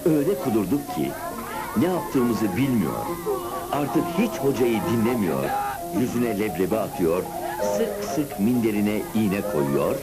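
An actor narrating a cartoon as a grown man recalling his boyhood school days, in an expressive, scripted storybook manner. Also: on the low side, a little slow, over loud music. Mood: animated.

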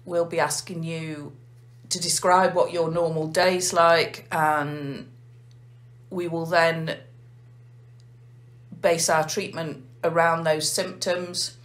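An English woman, a nurse manager, calmly explaining a heart failure clinic in an interview. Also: low-pitched. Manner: measured, methodical explaining.

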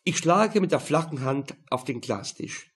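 Husky Viennese man narrating a crime audiobook. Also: fairly high pitch, animated, unhurried. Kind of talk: scripted first-person crime narration.

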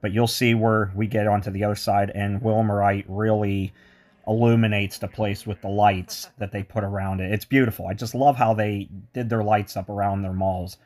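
A man's voice: fairly low pitch, little pitch movement, normal speed, a little mellow. A man giving an enthusiastic walking tour of a mall, mixing its history with chatty asides. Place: indoor shopping mall.

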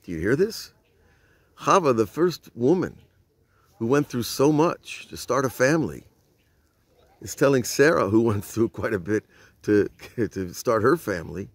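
A man's voice: mid-range voice, lively intonation, measured pace, no room sound. An older man, a rabbi, giving an informal Torah lesson outdoors at night, filmed on a phone held at arm's length, telling the story conversationally and putting rhetorical questions to his listeners. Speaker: rabbi teaching. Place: outdoors at night by old stone walls. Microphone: phone camera at arm's length.

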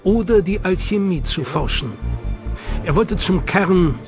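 A man giving measured, scripted documentary narration. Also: high voice, lively intonation. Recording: voice-over with loud music behind.

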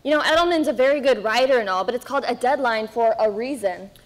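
A young woman acting on a school stage as an indignant, haughty radio actress with a sharp tongue. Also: on the high side, lively intonation, fast talker, close to an everyday voice, low-quality recording. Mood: irritated.

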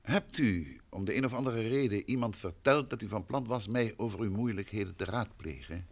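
An actor playing a courteous, assured gentleman investigator in an old radio drama, polite and measured. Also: fairly low pitch, gravelly. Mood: courteous, brisk.

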